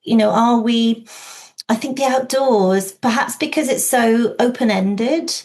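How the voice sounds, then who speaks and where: A woman's voice: fairly high pitch, unhurried, a little mellow. A woman in her forties from Wales, speaking as a podcast guest, talking reflectively with many fillers and restarts. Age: 40s. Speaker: podcast guest, outdoor learning specialist. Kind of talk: casual conversation.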